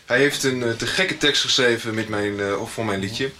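An actor playing a sincere young singer giving a hesitant, informal thank-you speech in a radio soap. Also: male voice, medium pitch, sharp tone, natural voice. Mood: heartfelt, hesitant. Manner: hesitant, with pauses and fillers.

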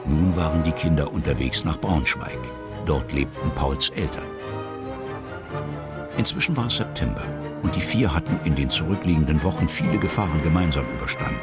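A man's voice: extremely low pitch, animated, normal speed. A man narrating a historical radio play for children in a scripted storytelling style. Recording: loud music under the voice. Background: music.

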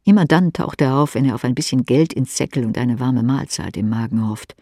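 A man narrates a novel in a husky voice with a lot of creak. He moves from emphatic phrases down to breathy near-whispers, recorded very dry. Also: medium pitch, brisk, mellow, no echo. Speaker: audiobook narrator. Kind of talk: dramatic literary narration.

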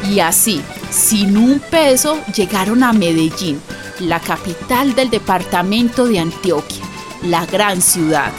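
A Colombian neighbour woman narrating a radio play. She tells it fondly and colloquially in a middle-pitched voice, with music clearly playing behind her. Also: animated, slow. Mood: storytelling. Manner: affectionate, colloquial storytelling. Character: fond, folksy woman neighbour telling a friend's life story.

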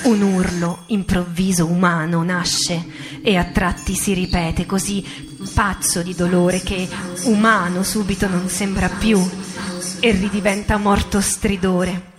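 A woman recites poetry as radio theatre, in a solemn, deliberate way with dramatic pauses. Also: fairly low pitch, little pitch movement. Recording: music under the voice. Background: music.

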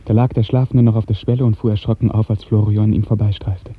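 Husky, low-voiced male radio narrator. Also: level tone, fast talker, no echo. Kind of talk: scripted literary narration, 1950s radio drama. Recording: muffled, tinny 1950s radio recording.

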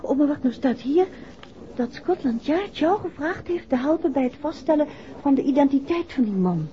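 An actress in a 1960s Dutch radio drama, playing a soft-spoken, expressive woman in a detective serial. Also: high voice, moderate pace. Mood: curious, wry. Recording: dull, noisy 1960s radio recording.

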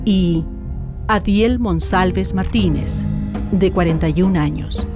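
A woman's voice: fairly low pitch, takes it slowly, over music. A woman narrating a radio docudrama in a solemn, scripted style. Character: solemn female narrator recounting the dead. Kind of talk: scripted documentary drama narration.

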